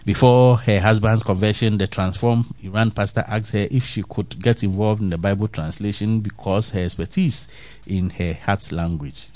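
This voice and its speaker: A man's voice: lowish voice, fairly even intonation, normal speed, darkish tone, dry recording. A man reading a faith news story aloud, then musing on it in an earnest, reflective way with restarts and fillers.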